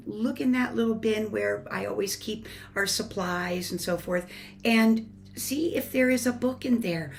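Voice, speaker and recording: A woman reading a children's picture book aloud in an expressive, deliberate way, as a church storyteller. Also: fairly high pitch, creaky. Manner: expressive, deliberate, shifting between emphatic and hushed. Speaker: church family ministry storyteller.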